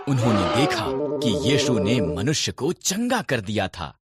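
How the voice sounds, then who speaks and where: A man reads scripted Bible stories as a narrator. He takes his time and keeps a measured storyteller's delivery. His mid-range voice moves up and down with lively expression. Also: mid-range voice, husky, dry recording, studio quality, faint music behind.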